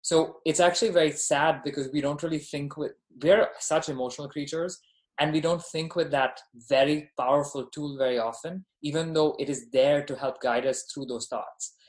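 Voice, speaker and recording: A man's voice: a little high, steady tone, moderate pace. A man who grew up in Dubai, talking casually, earnestly and emphatically as a guest on a podcast. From born in Bahrain, grew up in Dubai. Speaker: podcast guest, company founder. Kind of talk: casual conversational interview.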